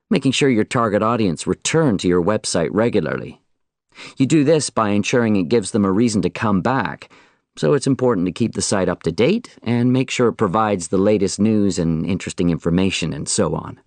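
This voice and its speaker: A man delivering a scripted instructional lecture in an orderly, measured way. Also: on the low side, a little mellow, no echo.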